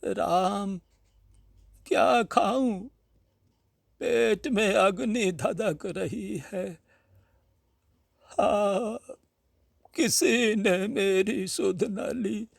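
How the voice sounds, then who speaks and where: A man's voice: high voice, gravelly, dry recording, clean studio sound, pitched above the performer's own voice, heavily acted. A man voicing a hungry, self-pitying blind old aunt in a Hindi storybook tale, with a quavering, plaintive manner. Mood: plaintive, aggrieved. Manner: slow, plaintive lamenting.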